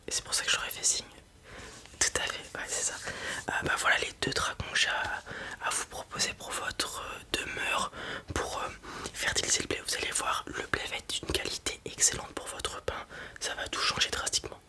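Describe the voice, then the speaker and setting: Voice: moderate pace, pushed and bright. A performer voicing a reassuring fantasy dragon breeder in an ASMR roleplay, whispering breathily and explaining things informally, with small hesitations. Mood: friendly, persuasive.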